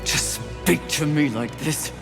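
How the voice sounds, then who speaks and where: A woman's voice: sits low, slow pace, vocal fry, full character voice. A woman playing a proud, wrathful female warrior in a video game, with terse, imperious delivery. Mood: wounded pride. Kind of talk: video game cutscene acting. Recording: loud music under the voice.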